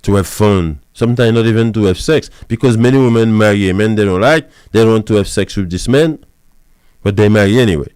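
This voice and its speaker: A man's voice: lowish voice, fairly quick, darkish tone, smooth, no echo, studio quality. A man lecturing women on marriage as a pastor and counselor, repeating his points with emphasis. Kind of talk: preachy advice monologue.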